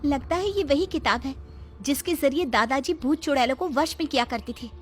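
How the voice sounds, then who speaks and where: Woman as a high-voiced, bold young girl. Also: moderate pace, close to an everyday voice. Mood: eager. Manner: confident, commanding. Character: bold, determined elder sister, a young girl. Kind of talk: Hindi cartoon voice acting.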